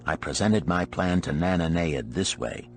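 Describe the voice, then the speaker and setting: A man's voice: low voice, lively intonation, normal speed, vocal fry, faint music behind. A man reading a story aloud as scripted audiobook narration.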